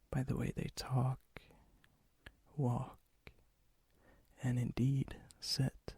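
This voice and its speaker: A man playing a charming, ancient vampire and hopeless romantic in a soft-spoken, often whispered ASMR roleplay, slow, intimate and courteous, with a breathy, husky voice. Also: medium pitch, dry recording, full character voice. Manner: slow, intimate, teasing, old-fashioned courtesy. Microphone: close mic, dry sound.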